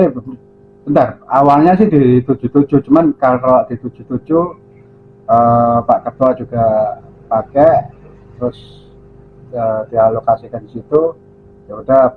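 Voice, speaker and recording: A man chatting casually and a little hesitantly as an amateur radio operator on a two-way radio. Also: mid-range voice. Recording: narrow phone-like sound, faint hum.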